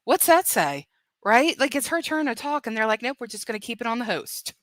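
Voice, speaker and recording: A woman guest in a casual commentary chat, animated and giggly. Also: a little high, fairly quick, no echo.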